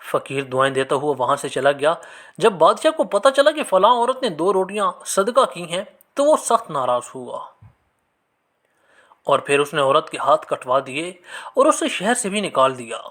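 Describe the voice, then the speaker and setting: A man narrating scripted religious moral stories in an expressive, measured storytelling manner. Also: on the high side.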